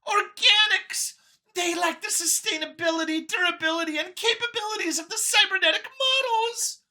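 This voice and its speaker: A woman's voice: very high voice, normal speed, bright, forceful tone, pitched above the performer's own voice, full character voice. A woman voicing a nervous, boastful female alien scientist from an audio story, whiny and gushing as she justifies her elitist views. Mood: excited, boastful. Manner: gushing, over-eager justifying.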